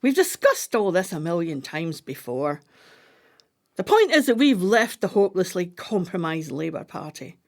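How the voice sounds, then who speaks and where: A woman acting in a radio play as a brisk, practical wife with a composed, wry manner. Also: fairly low pitch, big pitch swings. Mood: firm, soothing. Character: brisk, practical wife, former tennis champion turned coach.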